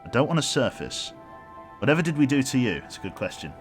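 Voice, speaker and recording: A man streaming a submarine game, calmly giving orders aloud and commenting as he plays. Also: medium pitch, quick pace. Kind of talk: gameplay commentary. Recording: game music loud behind.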